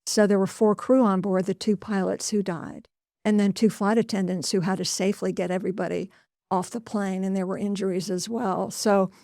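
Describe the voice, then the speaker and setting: A woman working as a news correspondent, explaining a story in a deliberate way, restating key points as she goes. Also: mid-range voice, flat delivery, mellow, no echo, clean studio sound. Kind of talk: news report, semi-scripted explanation.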